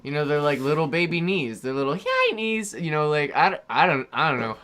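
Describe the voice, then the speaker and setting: A man improvising as a tipsy TV science educator in a comedy parody. The voice is bright and forceful, pitched a little high. He rambles, halts and repeats phrases as he goes. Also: expressive, slight background noise. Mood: tipsy, sentimental. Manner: halting, repetitive, rambling. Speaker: comedy improv performer answering chat questions.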